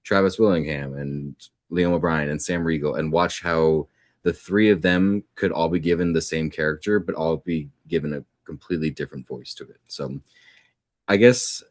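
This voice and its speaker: A young man with a very low, deep voice talks casually about his acting work as an interview guest. He sounds easygoing and reflective and leans on fillers as he thinks. The recording is dry, with no room sound around him. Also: dry recording. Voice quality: deep natural speaking voice. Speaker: voice actor guest.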